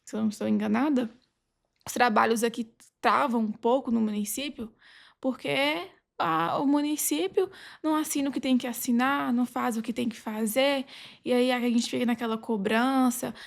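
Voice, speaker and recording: A Brazilian woman talks as a guest on a podcast. Her voice sits fairly high and carries heavy vocal fry. She explains her points earnestly and conversationally, often adding little check-in tags. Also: fairly even intonation, moderate pace. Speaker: podcast guest, legal advisor.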